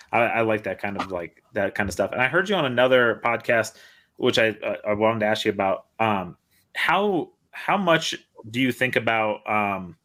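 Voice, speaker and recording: A man's voice: fairly low pitch, a bit bright. A man co-hosting a podcast, asking rambling, hesitant questions in a casual way. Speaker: podcast co-host, interviewer. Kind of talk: casual chat.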